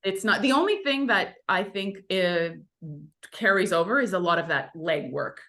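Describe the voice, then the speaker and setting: A woman's voice: medium pitch, moderate pace. A woman actor, a native Italian speaker, chatting as an interview guest and telling stories with lots of "like". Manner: chatty, animated storytelling with many "like" fillers. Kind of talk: casual interview chat.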